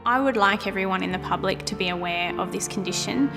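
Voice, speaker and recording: A woman in her thirties telling her own story in a calm, reflective, plain-spoken way. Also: medium pitch, fast, creaky. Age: 30s. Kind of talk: personal testimony interview. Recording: roomy reverb with music.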